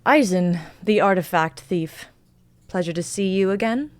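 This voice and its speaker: A woman's voice: medium pitch, measured pace, no room sound, close to an everyday voice. A woman reading the part of a tough, threatening female ship captain in a live dramatic reading, commanding and mocking.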